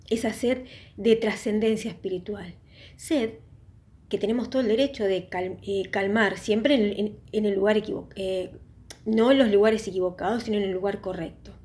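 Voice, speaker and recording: A woman with a mellow, warm voice speaks straight to her online viewers. She is earnest and reflective, easing into a spiritual talk at her own unhurried pace and pausing to think. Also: mid-range voice. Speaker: YouTube channel host. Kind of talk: casual religious testimony talk.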